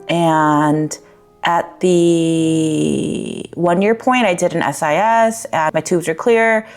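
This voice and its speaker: Candid young woman, slightly raspy, low-pitched. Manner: candid, rambling with fillers and likes. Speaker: vlogger. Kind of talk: personal vlog update.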